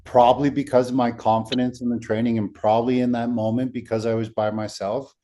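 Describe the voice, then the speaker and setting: Deep-voiced man telling a story. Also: level tone, a little fast, warm tone. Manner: storytelling, frequent "right?" tags. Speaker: podcast host. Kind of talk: casual podcast conversation.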